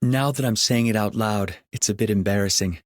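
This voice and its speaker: A man's voice: lowish voice, brisk, natural voice. An actor playing a shy, humble and devoted young man in a game voice line. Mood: bashful, admiring.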